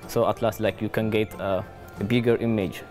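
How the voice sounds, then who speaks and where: A man from Ethiopia, a student, talking slowly and searching for his words. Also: lowish voice, fairly even intonation, a little mellow, faint music behind. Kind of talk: interview comments.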